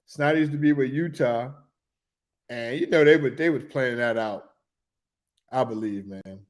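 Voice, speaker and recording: A man, talking loosely and excitedly about basketball as a podcast co-host in casual chat. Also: mid-range voice, unhurried. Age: 40s.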